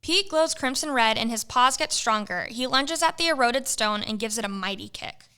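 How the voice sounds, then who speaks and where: A woman narrating a comic student radio play in a brisk storybook manner. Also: a little high, expressive, heavy background noise.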